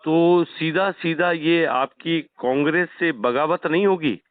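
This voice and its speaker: A man's voice: a little high, slow pace, no room sound. Persistent male interviewer on phone. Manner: polite but persistent, frequent acknowledgements. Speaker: journalist interviewer. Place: telephone call. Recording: dry, narrow phone call recording.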